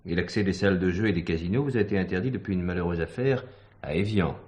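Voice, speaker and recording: An actor playing a firm, dryly courteous police commissioner in a French 1970s stage thriller, speaking with formal, insistent authority. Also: male voice, low voice, quick pace, a little mellow, lightly acted. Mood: probing, dry.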